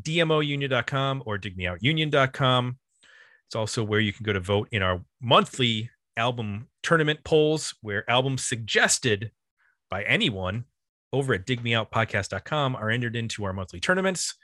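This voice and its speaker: Male podcast host, American, bright forceful voice, dry close sound, casual delivery. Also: mid-range voice, dry recording. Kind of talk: casual podcast chat and announcements.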